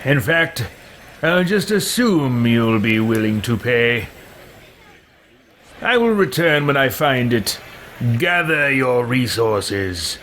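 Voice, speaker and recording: Guy doing an imperious villain mage for a game roleplay, drawn-out lines, lots of swoop, disdainful. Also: mid-range voice, big pitch swings, fairly bright tone, slight background noise. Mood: greedy, imperious. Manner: haughty, slow, disdainful. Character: arrogant villainous male mage lord, imperious and greedy. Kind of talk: roleplay voice for a video game let's play.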